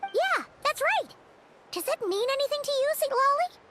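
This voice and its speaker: A woman voicing a tiny, excitable, childlike fairy companion in a video game, squeaky and blunt. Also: normal speed, exaggerated voice. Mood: curious. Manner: excitable, blunt, third-person self-reference. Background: game music.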